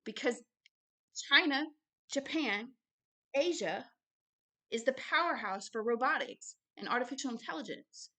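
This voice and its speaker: A woman's voice: high-pitched, animated, a little slow, pauses often, fairly bright tone. A woman giving extemporaneous Bible teaching and commentary in short, insistent phrases, repeating key words for emphasis. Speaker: religious teacher and show host.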